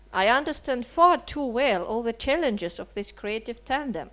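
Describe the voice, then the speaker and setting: Woman, slightly high voice, writer reading a statement, measured and earnest, rhetorical questions. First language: Russian. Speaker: writer and translator. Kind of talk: reading a prepared seminar statement. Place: seminar hall.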